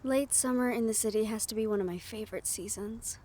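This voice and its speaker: Woman acting a young siren, higher-pitched voice, soft, intimate delivery, gentle, teasing, quietly worried, audio roleplay. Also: fast talker, lightly acted. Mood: calm wistful.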